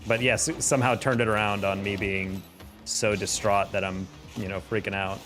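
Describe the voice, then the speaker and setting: A man's voice: lowish voice, flat delivery, normal speed, a bit bright, soft background music. A man chatting casually with his viewers while playing a game on a live stream, exasperated and laughing often. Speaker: streamer and caster.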